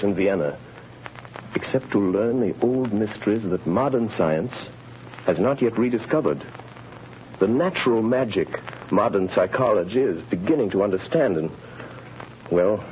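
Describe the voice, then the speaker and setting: An actor playing a suave, earnest gentleman crime fighter in a 1930s radio drama. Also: on the low side, slight background noise, close to an everyday voice. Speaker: lead character, wealthy crime fighter. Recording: muffled 1930s radio broadcast.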